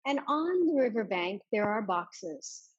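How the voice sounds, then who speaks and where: A woman leading a guided meditation as a yoga teacher, giving soft instructions with many pauses. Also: medium pitch, sing-song, slightly rough, dull audio.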